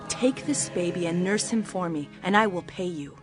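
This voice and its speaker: A woman's voice: fairly low pitch, moderate pace, music in the background, lightly acted. An actress playing an earnest young woman of ancient times in a dramatized scripture reading. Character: young women of ancient Egypt and Midian, earnest.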